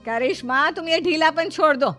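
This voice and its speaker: A woman's voice: sits high, normal speed, pushed and bright, faint music behind, voice pushed up. A woman voicing a bossy, spiteful sister-in-law in a cartoon story, scolding and nagging with sarcasm. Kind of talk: cartoon story character acting.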